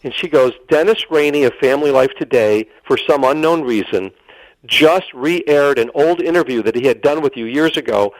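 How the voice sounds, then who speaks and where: A man's voice: mid-range voice, normal speed, no room sound, rough recording. A middle-aged American man telling dramatic anecdotes as an interview guest, quoting dialogue as he goes. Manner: dramatic storyteller, quotes dialogue.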